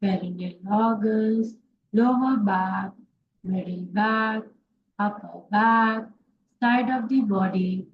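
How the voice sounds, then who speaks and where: A woman yoga instructor leading a guided relaxation meditation in short instructions with pauses between them. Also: mid-range voice. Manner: slow, pausing instructions in short phrases. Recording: dull lo-fi sound, faint background noise.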